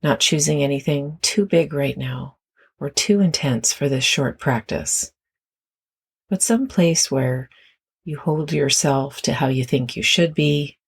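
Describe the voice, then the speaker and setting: Slow, husky meditation guide with creaky voice. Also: mid-range voice. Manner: gentle, unhurried instruction with long pauses.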